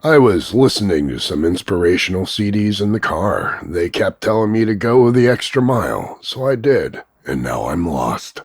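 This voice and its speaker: Gravelly, cocky macho action hero. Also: male voice, deep voice, no room sound, studio quality, exaggerated voice. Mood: smug, taunting. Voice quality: gravelly, husky. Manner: deadpan, smug, drawn-out delivery of punchlines. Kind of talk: voice-over demo of insults and one-liners.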